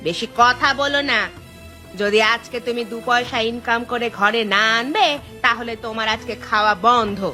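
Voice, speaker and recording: A bossy, boastful cartoon village wife played by a woman with a bright, forceful voice pitched fairly high. She scolds and challenges in a quarrelsome, sharp-tongued way. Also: moderate pace, faint music behind. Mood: scolding. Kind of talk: Bengali cartoon dialogue dubbing.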